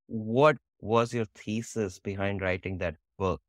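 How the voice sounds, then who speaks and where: A man hosting an interview, putting prepared questions in a measured way. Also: fairly low pitch, steady tone, no echo, clean studio sound. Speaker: interviewer, podcast host.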